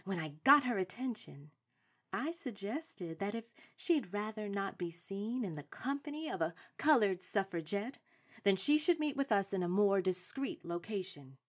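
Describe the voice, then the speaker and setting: A woman's voice: mid-range voice, sing-song, moderate pace. A woman playing a seasoned, proud and shrewd suffrage activist in a radio drama, speaking in a formal, authoritative way. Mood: composed, resolute. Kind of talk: stage-trained radio drama acting.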